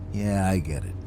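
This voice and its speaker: An actor playing an uneasy agent in an audio drama, hesitant and awkwardly affable. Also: male voice, sits low, background noise. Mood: forced cheer. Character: uneasy male agent forcing friendly small talk. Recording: music behind the voice.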